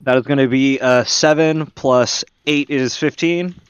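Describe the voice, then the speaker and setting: Guy player in a tabletop game, clear smooth voice, average pitch, casual, figuring out moves out loud, very dry mic. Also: unhurried, dry recording, highs cut off. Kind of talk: casual game chat, thinking aloud.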